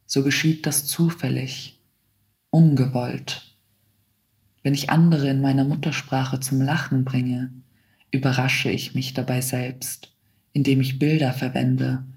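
Man, reflective reading, slow pace, gravelly. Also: medium pitch, darkish tone. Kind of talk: reading a personal text.

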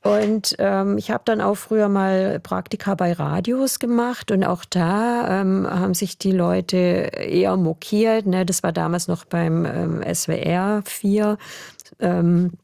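A woman's voice: medium pitch, steady tone, takes it slowly, creaky, dark tone, dry recording, clean studio sound. A middle-aged woman from southwest Germany with an Alemannic German accent, answering interview questions in a chatty, hesitant way with many fillers. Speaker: journalist guest.

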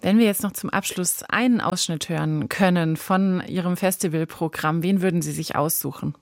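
Woman radio interviewer, slightly low register, dry studio acoustic, prepared cultural interview style. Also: normal speed, no room sound.

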